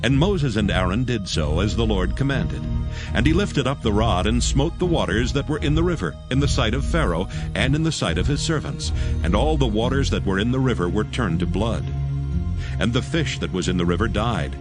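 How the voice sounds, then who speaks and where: A man narrating a dramatized scripture story in a solemn, measured, scripted style. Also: on the low side, animated. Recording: music under the voice. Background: music.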